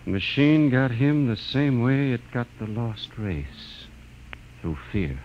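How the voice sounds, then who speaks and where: An actor playing an earnest, brainy space explorer, urgent and explanatory, in old-time radio drama style. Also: male voice, mid-range voice, very animated, takes it slowly, slight background noise. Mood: grave, explanatory. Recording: dull old radio recording.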